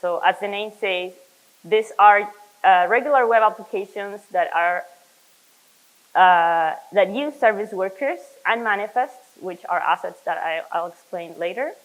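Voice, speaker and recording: A young adult woman from Chile giving a technical conference talk as a software developer, earnest and explanatory, with frequent fillers and self-corrections. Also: mid-range voice, a little slow, poor audio.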